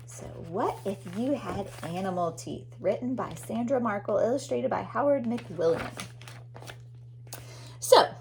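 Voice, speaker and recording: A woman's voice: on the high side, slight background noise. A woman teacher leading a read-aloud lesson for young children, explaining things and repeating key words for emphasis. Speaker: elementary teacher reading aloud.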